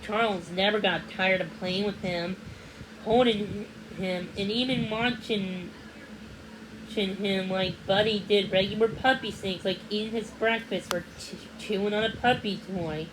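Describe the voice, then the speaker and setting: A woman reading a children's storybook aloud as narrator, somewhat haltingly, sometimes repeating or correcting a word. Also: mid-range voice, background noise. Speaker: read-along narrator.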